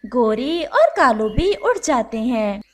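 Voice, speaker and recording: Slow, high-voiced woman narrator. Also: big pitch swings. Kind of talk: scripted children's story narration in Hindi.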